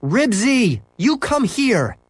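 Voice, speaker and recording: A man voicing an eager young boy who yells at his dog and talks excitedly to his mother, in a raised voice. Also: high voice, a little slow, dry recording, voice pushed up. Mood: angry.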